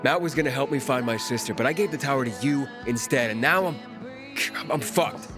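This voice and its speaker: Guy playing frustrated young game hero, urgent, normal pitch, music going behind him. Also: fairly quick, natural voice. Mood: exasperated. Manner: urgent, exasperated. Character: frustrated, desperate young male survivor hero.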